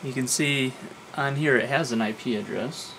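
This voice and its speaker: A man's voice: mid-range voice, moderate pace, fairly bright tone, slight background noise. A man in his thirties giving a casual hands-on tech demonstration as a video host. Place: home lab room, indoors.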